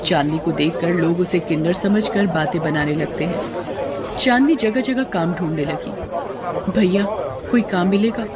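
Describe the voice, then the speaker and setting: A woman's voice: lowish voice, normal speed, over a noisy crowd. A woman reading scripted, dramatic story narration.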